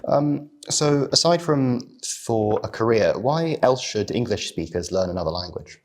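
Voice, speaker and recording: A man interviewing a guest, asking short, polite, brisk questions. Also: medium pitch, animated.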